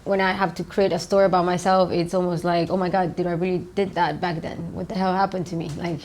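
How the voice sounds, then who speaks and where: A woman's voice: lowish voice, flat delivery, quick pace, darkish tone, slight background noise. A woman in her thirties from Romania, the founder of a startup, answering interview questions in a loose, rambling way with many "um"s and "like"s, in an office.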